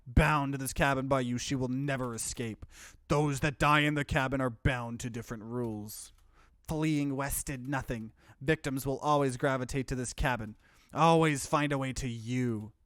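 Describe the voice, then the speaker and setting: A man's voice: mid-range voice, steady tone, normal speed, a bit bright, no echo, natural voice. Male reader as vengeful ghost in a horror game, bitter, ominous, accusing, dry close sound. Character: vengeful dead victims, bitter and accusing spirits.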